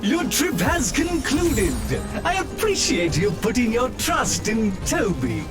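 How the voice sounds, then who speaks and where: A man's voice: extremely high pitch, sing-song, slow pace, heavily acted. A man voicing a small, polite automated taxi robot in a video game, giving formal scripted announcements. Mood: polite, informative. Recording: game music behind the voice.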